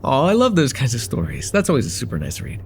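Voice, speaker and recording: A man's voice: medium pitch, huge pitch swings, fast talker, vocal fry, a little hiss or hum. A man playing a friendly, chatty young guy at a cafe in a casual, slightly hesitant conversational ASMR roleplay. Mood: friendly, curious.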